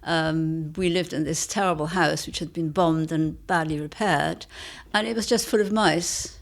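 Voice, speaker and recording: A woman's voice: sits low, fairly even intonation, normal speed. An older woman, an author, talking reflectively as a guest in an interview.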